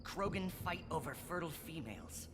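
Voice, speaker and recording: A man's voice: on the high side, lively intonation, fairly quick, background noise, close to an everyday voice. A man voicing a bitter, zealous alien scientist in a video game, articulate and accusatory, a disillusioned former student. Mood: impassioned. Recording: game dialogue over background music.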